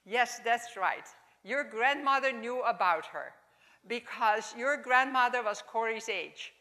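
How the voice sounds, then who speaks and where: A woman in her seventies with a Dutch accent, giving an informal, halting chapel talk in English as a second language. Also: on the high side. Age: 70s. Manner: warm, halting, non-native phrasing. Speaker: chapel speaker. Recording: long hall reverb.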